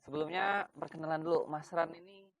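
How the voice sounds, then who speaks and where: A man's voice: a little high, steady tone, brisk, a bit bright. A man hosting a casual interview, chatting informally and bantering with his guest. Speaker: host of a chat interview show.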